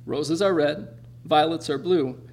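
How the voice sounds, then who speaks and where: A man's voice: on the high side, unhurried, a little mellow. A man hosting a story show, reading a speaker's introduction on stage with a dry delivery and awkward asides. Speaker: event host introducing a speaker. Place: performing arts center stage.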